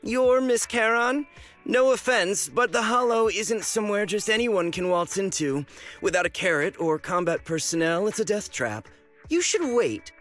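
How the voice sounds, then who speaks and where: An excitable young male information broker from a video game. His voice sits fairly high and swings about expressively as he chats informally. Music plays clearly underneath him. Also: normal speed. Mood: wary.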